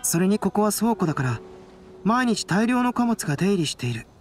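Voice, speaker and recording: A woman voicing a calm, analytical young man in an anime game, composed and measured. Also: medium pitch, close to an everyday voice. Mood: calm reasoning. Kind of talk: Japanese anime game voice acting. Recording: background music behind voice.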